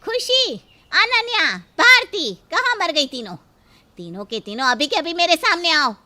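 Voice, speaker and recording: A woman's voice: very high voice, sing-song, unhurried, pushed and bright, heavily acted. A woman playing a domineering, greedy middle-aged mother-in-law in a cartoon story, haughty and threatening as she scolds. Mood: furious. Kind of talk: Hindi cartoon story acting.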